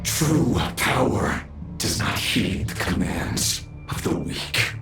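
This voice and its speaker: An actor voicing an arrogant, power-hungry undead villain in a video game, speaking theatrically with condescending pauses. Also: male voice, medium pitch, animated, echoey room, over music. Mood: smug, scornful. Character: menacing undead male villain, arrogant sinner craving power.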